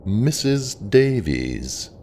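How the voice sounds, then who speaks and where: A man's voice: lowish voice, big pitch swings, extremely slow, mellow, slight background noise, exaggerated voice. A man playing a young British working-class husband and son in an audio drama, informal, dry and teasing. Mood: teasing, exasperated.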